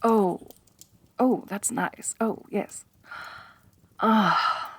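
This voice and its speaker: A woman's voice: medium pitch, drawn-out words, a bit bright, husky, no echo, full character voice. A woman playing a haughty, domineering rich lamia mistress in an ASMR roleplay, talking down to her servant. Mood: condescending, soothed. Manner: haughty, domineering, talks down to the listener. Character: haughty rich female lamia, bossy mistress, slightly tsundere.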